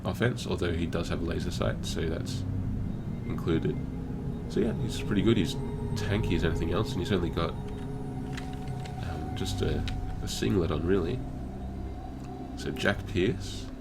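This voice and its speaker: A man's voice: low voice, measured pace, gravelly, heavy background noise. A young man with a blocked nose, sounding nasal, rambling through casual game commentary at home with self-deprecating asides. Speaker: let's play host. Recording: voice over loud game music.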